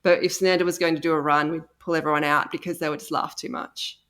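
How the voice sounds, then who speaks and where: A woman's voice: sits low, level tone, fast, fairly bright tone. A woman chatting casually and effusively as a guest on an interview podcast. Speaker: interview guest, audio drama co-creator.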